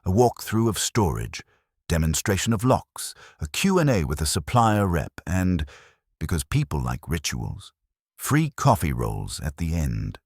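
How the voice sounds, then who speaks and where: A man narrating a scripted first-person detective story in a dry, wry, measured way. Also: low voice, big pitch swings, no room sound. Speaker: audiobook narrator.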